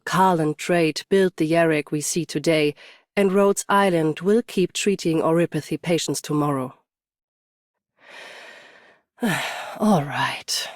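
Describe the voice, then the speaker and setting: An actress playing a cool, guarded but loyal watchkeeper in a video game, with a dry, understated delivery. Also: female voice, sits low, a little mellow, no room sound. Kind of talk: scripted video game character voice line.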